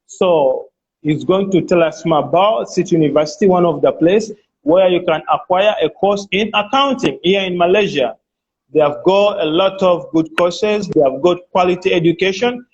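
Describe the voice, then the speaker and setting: This man has a high voice and hosts a casual live-stream chat, often hesitating and restarting his sentences. A faint hiss of background noise sits behind his voice. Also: moderate pace, lo-fi sound. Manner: hesitant, many fillers and restarts; enthusiastic interjections. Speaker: host of a live online chat.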